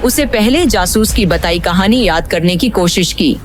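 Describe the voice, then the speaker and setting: A woman's voice: mid-range voice, normal speed, soft background music. A woman narrating a scripted audio drama in a suspenseful, questioning teaser style.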